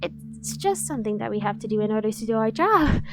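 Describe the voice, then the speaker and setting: A woman's voice: on the high side, lively intonation, fairly quick. A woman playing a devoted, obsessive female bodyguard in an ASMR roleplay, persuasive and insistent while feigning professionalism. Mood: smooth persuasion.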